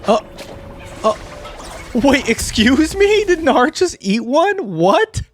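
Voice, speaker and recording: A man hosting a gaming reaction video, commenting casually off the cuff and thinking out loud with easy surprise. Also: very high voice, sing-song, slow pace, faint music behind. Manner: easily amused, thinks out loud, exclaims with surprise.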